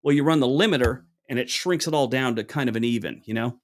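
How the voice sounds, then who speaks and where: A man with a deep voice hosts a casual livestream from a room at home. He rambles warmly through viewer questions, restarting sentences and adding fillers as he goes. He talks into a small wireless clip mic at a medium pitch. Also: fast talker. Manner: acts out both sides of an anecdote with raised pitch. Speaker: livestream host answering chat questions.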